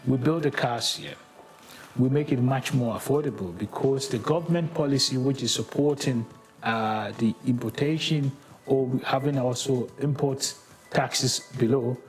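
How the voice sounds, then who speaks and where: Earnest older man, auto executive. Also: medium pitch. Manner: earnest, promotional, with hesitant restarts. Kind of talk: interview. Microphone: lapel.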